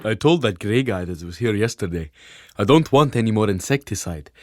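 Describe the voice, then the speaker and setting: An actor playing a testy, pompous Pharaoh with an imperious manner in a comedic audio drama. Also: male voice, lowish voice, expressive, brisk, noisy background, lightly acted. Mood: weary, dismissive. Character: testy, pompous male Pharaoh of ancient Egypt. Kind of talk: comedic audio theatre acting.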